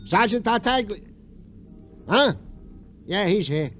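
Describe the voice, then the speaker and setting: Very high-pitched plaintive New cop. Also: male voice, animated, takes it slowly. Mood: exasperated. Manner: plaintive, rambling, ungrammatical. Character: excitable, aggrieved, simple-hearted police sergeant. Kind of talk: 1940s-50s radio crime drama comic acting. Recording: vintage radio recording with noise.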